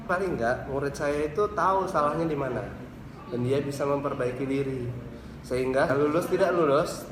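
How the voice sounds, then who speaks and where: Explanatory male lecturer in an echoey room. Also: medium pitch. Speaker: university lecturer. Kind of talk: interview answers. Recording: echoey room with clear background noise.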